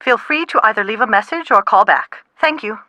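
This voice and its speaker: A woman's voice: a little high, expressive, a little fast, pushed and bright, slight background noise. A woman playing a mother in a game's telephone dialogue. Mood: recorded greeting. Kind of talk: game character phone dialogue.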